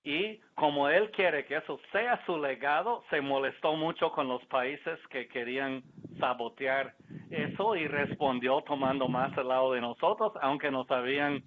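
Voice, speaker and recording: A man with a Mexican Spanish accent speaks as a panelist, measured and candid, with a critical edge to his remarks. His voice sits at an ordinary middle pitch. The sound is thin and narrow, like a phone line. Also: a little hiss or hum. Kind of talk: discussion remarks.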